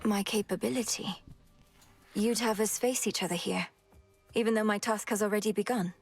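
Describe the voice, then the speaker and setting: A woman's voice: mid-range voice, steady tone, normal speed, breathy edge, faint music behind. A woman voicing an earnest, dutiful young witch in a video game, polite, restrained and formal. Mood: respectful.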